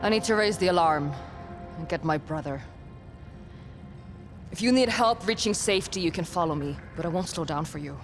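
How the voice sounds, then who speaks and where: An actress playing a tough, guarded young warrior in a video game, blunt and impatient, with a dry, no-nonsense delivery. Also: female voice, lowish voice, lively intonation, normal speed, pauses often. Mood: terse, determined. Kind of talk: cinematic video game acting. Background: background music.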